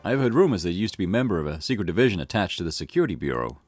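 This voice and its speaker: A man's voice: deep voice, sing-song, racing, a little mellow. A man voicing an eager, cheeky junior police detective in a visual novel, chatty and teasing. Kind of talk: acted visual novel dialogue. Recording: music behind the voice.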